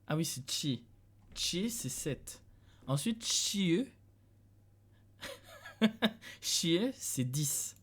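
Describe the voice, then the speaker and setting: A man's voice: fairly high pitch, lively intonation, very slow, pauses often, fairly bright tone, husky. A young man from France streaming from a dim room at home, thinking aloud in casual commentary, chuckling and talking to his chat.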